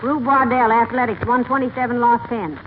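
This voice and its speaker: An actress playing a sensible, folksy wife with a dry, skeptical, good-natured manner in 1940s radio comedy. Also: female voice, a little high, fairly even intonation, a little fast, lightly acted. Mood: pleasant, curious. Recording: very noisy, tinny vintage radio broadcast.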